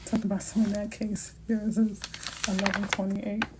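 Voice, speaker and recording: A woman's voice: mid-range voice, level tone, normal speed, very noisy. Chatty middle-aged New Orleans woman. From New Orleans, Louisiana. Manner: chatty and playful, with slang and asides. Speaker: realtor hosting a live Q&A. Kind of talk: casual live-stream chat answering viewers.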